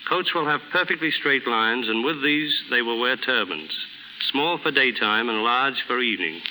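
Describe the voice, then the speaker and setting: Noisy 1930s radio broadcast, guy guest reading a script, formal, medium-pitched voice. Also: expressive, normal speed, pushed and bright. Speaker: guest stylist.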